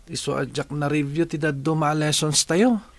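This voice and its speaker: Guy as young male student, earnest, voice a bit high, drama dialogue. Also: steady tone, normal speed, dry recording, natural voice. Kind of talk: audio drama acting.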